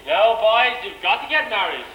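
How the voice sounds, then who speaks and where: A man's voice: high-pitched, big pitch swings, normal speed, muffled recording. An Irish man playing a jovial, blustering king in an amateur stage pantomime, teasing and theatrical. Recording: echoey village hall stage, background noise.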